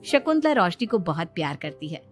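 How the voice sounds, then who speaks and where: A woman's voice: on the low side, sing-song, fairly quick. A woman reading a scripted moral story as its narrator. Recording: music bed under the voice. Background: background music.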